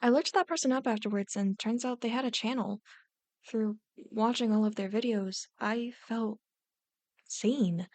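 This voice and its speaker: A woman playing a warm, playful non-binary young adult friend in an ASMR roleplay, earnest and jokey. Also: a little high, unhurried, no room sound, lightly acted. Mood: sincere.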